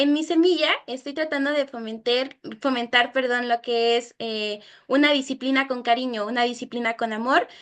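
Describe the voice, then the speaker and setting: A woman's voice: on the high side, fairly bright tone. A young woman speaking as a guest, earnestly explaining her project in an informal panel presentation.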